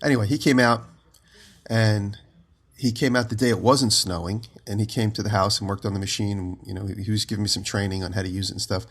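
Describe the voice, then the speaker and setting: A man co-hosting a podcast, just out of bed with his voice lower than usual, telling long rambling stories from home over a remote call. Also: steady tone, fast talker, a bit bright. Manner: rambling storyteller, giggly. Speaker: podcast co-host. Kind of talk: casual chat.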